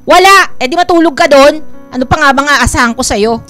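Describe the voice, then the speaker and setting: A woman's voice: high voice, brisk, a bit bright, soft background music. An actress playing a bossy middle-aged mother in a Filipino radio drama, with an exasperated manner. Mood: nagging, irritated. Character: nagging, two-faced middle-aged mother, sharp-tongued at home.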